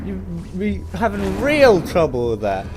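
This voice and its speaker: A young man giving a casual, rambling and opinionated video game review, animated and informal. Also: high-pitched, unhurried. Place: voice-over on gameplay footage. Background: game music.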